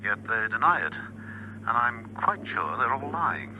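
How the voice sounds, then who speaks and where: An actor playing a suave, understated gentleman agent reporting matter-of-factly over a telephone in a radio drama. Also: medium pitch, big pitch swings, unhurried. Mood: measured, suspicious. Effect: telephone filter. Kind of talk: spy radio drama acting. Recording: distant telephone line, faint noise.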